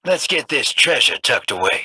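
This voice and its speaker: A cocky swaggering pirate captain. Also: male voice, medium pitch, very animated, moderate pace, bright, forceful tone, slightly rough, no echo, heavily acted. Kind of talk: video game voice acting.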